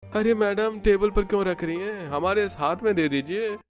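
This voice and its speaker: A man's voice: sits high, moderate pace, pitched above the performer's own voice, lightly acted. A man voicing a leering young street harasser in a cartoon, taunting and drawling. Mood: smug.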